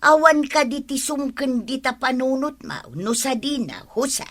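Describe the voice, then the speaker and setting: A woman's voice: sits high, animated, measured pace, fairly bright tone, full character voice. A woman playing a suspicious, nagging wife who keeps questioning insistently in an Ilocano comedy drama. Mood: accusing, insistent. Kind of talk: Ilocano radio-style comedy drama acting.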